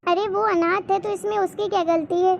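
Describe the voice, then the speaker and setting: Woman as cartoon daughter, young, devoted and determined; extremely high, girlish pitch, faint noise behind. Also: steady tone, a little fast, vocal fry. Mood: firm, pleading. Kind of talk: Hindi cartoon moral-story dubbing.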